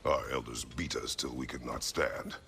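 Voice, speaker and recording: Casting: guilt-burdened, battle-worn game warrior; extremely low, heavily gravelled voice, terse formal delivery, noisy scene. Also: male voice, steady tone, pushed and bright, full character voice. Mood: stern. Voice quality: deep, gravelly. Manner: terse, formal, measured, weighty phrasing. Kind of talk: cinematic video game acting.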